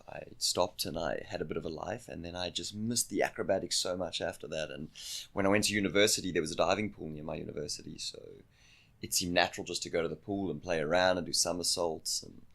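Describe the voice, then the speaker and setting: A young South African man speaks as a guest on a podcast, with a very low, gravelly voice. He is relaxed and laughs easily, and fills his answers with a lot of "yeah". His South African accent is plain. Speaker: podcast guest, circus acrobat. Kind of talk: casual interview chat.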